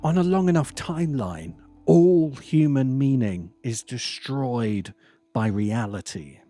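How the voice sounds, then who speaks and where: A man delivers scripted essay narration in a mellow, relaxed tone. His pace is unhurried and deliberate, with emphatic phrasing that leaves dramatic pauses. Faint music plays behind his voice. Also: mid-range voice. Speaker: video essayist and host.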